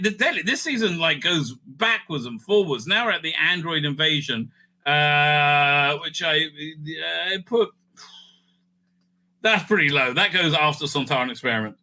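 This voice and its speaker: A man giving casual, rambling fan commentary as he ranks things, excitable and sweary, sometimes muttering to himself. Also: fairly high pitch. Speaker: host of a fan ranking show.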